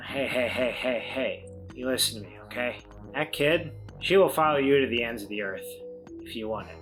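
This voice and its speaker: A man's voice: mid-range voice, normal speed, a bit bright, faint music behind. A man improvising in character as a player in a tabletop roleplaying game, with a conversational delivery.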